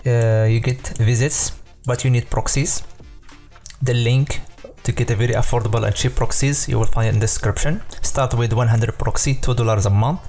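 Mellow-voiced man explaining software casually. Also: mid-range voice, fairly even intonation, normal speed, faint music behind. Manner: loose and informal, many fillers and self-corrections. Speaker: software tutorial presenter. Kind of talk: casual screen-recorded tutorial.

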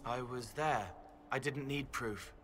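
An actor playing a soft-spoken, haunted young man in a video game, earnest and solemn in simple phrases. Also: mid-range voice, slow pace, slight background noise. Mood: quietly grave.

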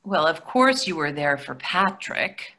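A woman's voice: deep voice, expressive, unhurried, sharp tone, full character voice. An older woman reading the part of a practical, exasperated wife in a stage play, dry and matter-of-fact. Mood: hurt, firm. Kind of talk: readers theatre play reading. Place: plain room indoors, video call.

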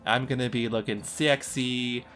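A man's voice: medium pitch, steady tone, unhurried, fairly bright tone. A young man co-hosting a casual chat in a playful, slangy way. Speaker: podcast co-host. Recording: music playing under the talk. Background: guitar music.

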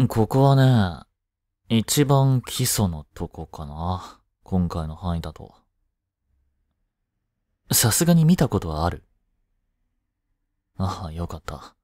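A young man acts a studious high-school boy in an intimate audio drama, close and dry in sound. He talks slowly in a low register, casual and curt, teasing yet caring. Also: very animated, darkish tone, dry recording, full character voice. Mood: patient, instructive. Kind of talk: intimate Japanese boyfriend-roleplay audio drama.

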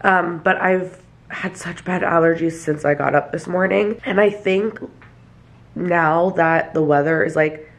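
A woman's voice: on the low side, unhurried. Chatty young woman with a stuffy nose. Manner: chatty, lots of fillers. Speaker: vlogger and hairstylist. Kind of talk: casual talk to camera. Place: small apartment, kitchen and bathroom. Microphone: handheld camera at arm's length or tripod.

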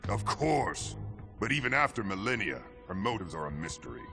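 Deep, gravelly tyrant emperor. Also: male voice, very animated, moderate pace. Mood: weary, commanding. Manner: commanding, menacing. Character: imperious tyrant warlord emperor, menacing and contemptuous. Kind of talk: dramatic video game acting. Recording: loud music behind the voice.

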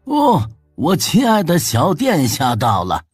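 A boisterous old aristocrat for a dramatised audiobook, lecherous and merry with drink. The delivery is theatrical and swoops up and down in pitch, over soft music. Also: male voice, huge pitch swings, slow, slightly rough, no room sound, clean studio sound, full character voice. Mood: boisterous delight. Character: tipsy, lecherous, jovial old nobleman, thin and boisterous. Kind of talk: dramatised audiobook character acting.

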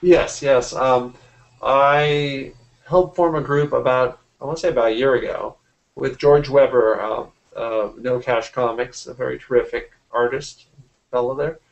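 A man's voice: medium pitch, fairly even intonation, measured pace, vocal fry, lo-fi sound. A man in his forties speaking as an interview guest in a casual conversation on a video call, often rephrasing himself mid-sentence. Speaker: interview guest, artist and art teacher. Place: small indoor room at home. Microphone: computer mic on a video call.

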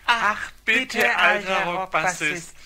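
A man's voice: sits high, slow, bright, forceful tone, pitched above the performer's own voice, exaggerated voice. A man voicing village children in an audio story, begging eagerly for a tale. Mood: pleading.

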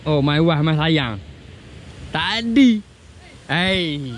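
A man's voice: on the high side, expressive, very slow. A man with a Malaysian accent in a casual outdoor vlog, bantering and calling out directions to his friends. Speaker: vlogger filming a camping trip with friends. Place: outdoors in a forest by a stream. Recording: noisy outdoor action-camera sound, vehicle noise.

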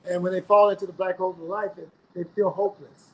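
A man's voice: high-pitched, level tone, fast talker, a little mellow, poor audio. A middle-aged man from South Carolina, speaking earnestly as an interview guest on a video call from home, often repeating words as he gathers his thoughts. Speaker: guest, singer. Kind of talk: casual interview. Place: home room indoors.

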